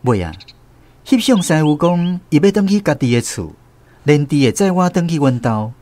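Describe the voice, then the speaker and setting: A male actor with a Taiwanese accent playing a grown man who recounts his boyhood in an animated radio drama narration. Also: fairly high pitch, normal speed, slight background noise, natural voice. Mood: reflective, storytelling. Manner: animated first-person storytelling. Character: grown man fondly recounting his small-boy adventures. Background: plucked guitar music.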